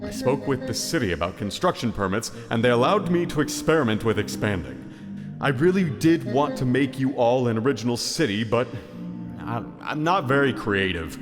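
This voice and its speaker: Earnest, hesitant cartoon mentor, male actor: animated intonation, encouraging and self-doubting, music behind. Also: mid-range voice, moderate pace, lightly acted. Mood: sheepish, earnest. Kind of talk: animated comedy voice acting. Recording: long reverb, music behind.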